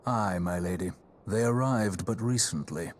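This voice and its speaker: A man's voice: on the low side, unhurried. An actor playing a grave male sage in a video game, solemn and poetic in archaic speech. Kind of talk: video game cutscene acting.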